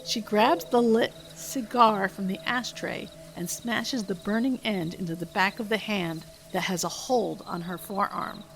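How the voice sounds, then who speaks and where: A woman's voice: mid-range voice, a little slow, vocal fry, hardly any pauses, slight background noise, rough recording. A woman podcast host reading her own script aloud, stage directions and all. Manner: reads stage directions steadily. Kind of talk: script read-aloud narration.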